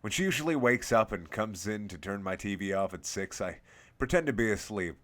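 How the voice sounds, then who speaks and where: Man, low-pitched voice, horror story narrator, series host, first-person scripted reading. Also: expressive, fairly quick, fairly bright tone.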